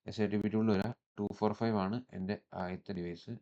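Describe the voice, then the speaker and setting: A man with a Malayali accent presenting a step-by-step software tutorial in an instructional manner, mixing in English technical terms. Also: on the low side, fairly even intonation, a little fast, lo-fi sound.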